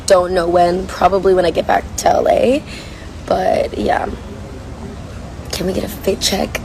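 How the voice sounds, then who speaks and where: A young woman with a hoarse, worn voice chats casually while reading viewer comments on a livestream from a coffee shop. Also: fairly low pitch, flat delivery, a little slow, background noise. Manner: chatty, rambling, reads comments aloud. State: hoarse, lost voice. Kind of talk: casual chat.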